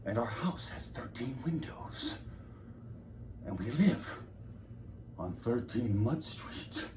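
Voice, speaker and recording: A man on stage performs a nervous, downtrodden husband delivering a comic lecture in a fairly high, very animated voice. He digresses, exclaims and sinks into self-pity. The sound is roomy and echoing. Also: brisk. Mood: gloomy. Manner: digressive, self-pitying, exclaiming then trailing off. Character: nervous, henpecked, rambling husband giving a public lecture. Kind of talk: theatrical comic stage monologue. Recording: roomy, echoing, distant mic with background noise.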